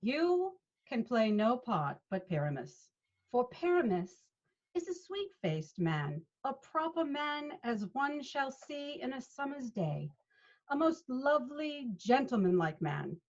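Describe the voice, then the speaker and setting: Lively high-voiced play organizer, a woman acting. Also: takes it slowly, full character voice. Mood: coaxing. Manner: expressive, instructing. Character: Peter Quince, a patient, organizing male leader of amateur players. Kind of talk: Shakespeare table read over video call. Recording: dull video-call audio.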